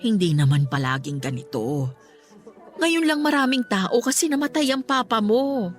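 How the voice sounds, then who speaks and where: A woman playing a concerned middle-aged mother who gently scolds her grown child in a Tagalog radio drama. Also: fairly high pitch, big pitch swings, normal speed, soft background music. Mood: firm, reproachful.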